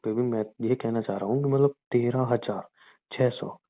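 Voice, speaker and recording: A young man's mid-pitched voice comes through a thin, phone-like line, teasing and sarcastic in an easy-going way. He keeps a running tally out loud as he jokes. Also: moderate pace. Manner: teasing, sarcastic, totting up sums. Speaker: boyfriend on a phone call. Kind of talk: teasing scripted phone banter. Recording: phone call recording.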